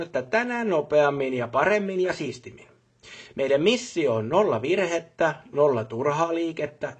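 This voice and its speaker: An actor playing a businesslike male company boss in a video game, talking matter-of-factly in corporate jargon and brisk orders. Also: mid-range voice, breathy edge. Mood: zealous, motivational. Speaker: boss instructing employees. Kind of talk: video game character dialogue.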